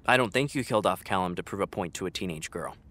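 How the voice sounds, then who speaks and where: A man's voice: sits low, quick pace, sharp tone, no echo. An actor playing a frank, exasperated young man talking to his best friend in a naturalistic, conversational audio drama style. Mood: teasing, hesitant.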